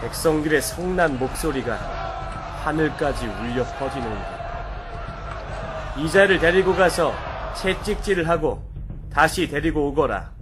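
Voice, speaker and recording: A man's voice: on the high side, measured pace, pauses often, crowd noise, noisy background, lightly acted. A man playing a stern, troubled, weary Roman governor in a children's Bible story drama, speaking in formal, declamatory, old-fashioned phrases. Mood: agitated, commanding.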